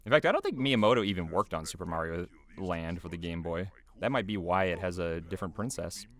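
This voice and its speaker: A man's voice: sits low, fairly quick, a bit bright. A man giving casual, unscripted behind-the-scenes commentary in a rambling, hesitant way.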